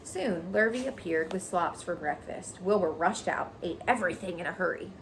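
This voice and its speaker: Casting: female teacher reading to children, lively mid-range voice, informal explanations, audible noise behind. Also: on the low side, normal speed, low-quality recording. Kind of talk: expressive children's story reading with casual explanations. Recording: noticeable background noise.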